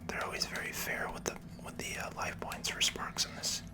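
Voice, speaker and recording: A person whispering casual, unhurried commentary for an ASMR video game playthrough, in a soft, breathy, unvoiced voice.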